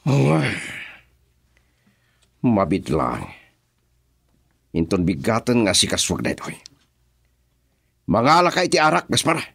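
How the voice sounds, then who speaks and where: A man's voice: on the low side, big pitch swings, slow, gravelly, pauses often, fairly bright tone, breathy edge, full character voice. An actor with a Filipino accent playing an angry, demanding crime syndicate boss in a radio drama, scolding his men.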